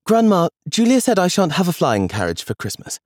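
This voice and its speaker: A man voicing a high, eager little boy. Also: wildly swooping pitch, fairly quick, dry recording, studio quality, full character voice. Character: excitable little boy grandson, imaginative and eager.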